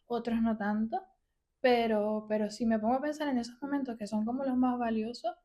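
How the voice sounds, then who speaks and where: A woman's voice: fairly high pitch, fairly even intonation, fairly quick, mellow. A woman in her thirties from Venezuela with a Venezuelan accent, telling stories casually as a podcast guest. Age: 30s. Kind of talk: casual conversational storytelling.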